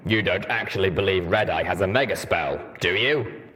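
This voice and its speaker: A gruff, armored pony soldier from a fantasy story, acted out by a man. He speaks low and stern, with an accusing, demanding temper. He sounds like a hard veteran who cannot believe the fools around him. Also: moderate pace, bright, forceful tone. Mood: skeptical.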